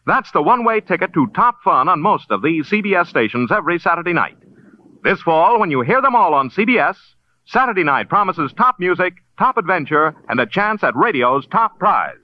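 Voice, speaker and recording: Animated, high-voiced male radio announcer. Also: brisk. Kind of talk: 1940s-50s promotional radio announcing. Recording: dull old radio broadcast.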